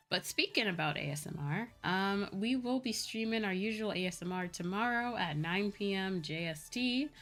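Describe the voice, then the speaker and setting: A woman's voice: medium pitch, animated, moderate pace, fairly bright tone, soft background music. A woman livestreamer chatting casually to her viewers in a playful, self-deprecating manner. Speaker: livestreamer, VTuber.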